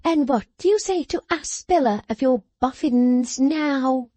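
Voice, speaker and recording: A woman voicing a shrill, excitable young woman who exclaims and scolds in a high voice. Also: unhurried, no room sound. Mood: excited scolding.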